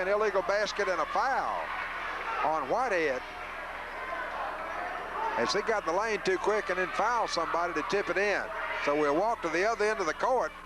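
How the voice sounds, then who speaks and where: A man calls live basketball play-by-play in a folksy way for local radio, openly favouring the home team. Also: high voice. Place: high school gym. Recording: noisy 1980s local radio broadcast.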